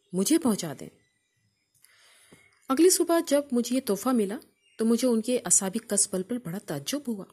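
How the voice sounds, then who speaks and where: A woman with a Pakistani accent narrates a literary memoir in a measured, formal storytelling manner. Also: mid-range voice, a little mellow, husky. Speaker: audiobook narrator reading a memoir.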